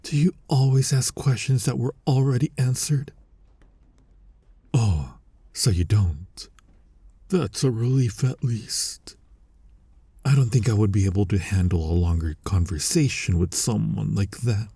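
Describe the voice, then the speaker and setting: A man playing a flirty, exasperated incubus demon in an intimate ASMR roleplay, husky and breathy with a teasing, sardonic manner, often slipping toward a whisper. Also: fairly low pitch, warm tone, no room sound, exaggerated voice. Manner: slow, teasing, sardonic delivery. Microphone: close, dry studio-style microphone.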